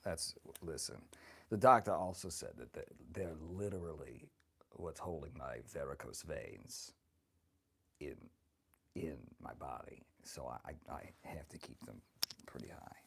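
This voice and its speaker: A bawdy, doting old grandma played by a man, with an extremely low voice that swings around in pitch. She rambles slowly and haltingly through live stream banter, fussing and calling everyone honey. Some noise sits behind the voice. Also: lively intonation, creaky, fairly bright tone, heavily acted. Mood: flustered. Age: older. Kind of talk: improvised comic chat with live stream viewers.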